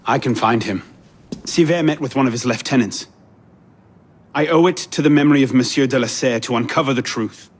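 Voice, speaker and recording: An actor playing a defiant, dry and determined young assassin in a video game cutscene. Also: male voice, mid-range voice, brisk, breathy edge, lightly acted.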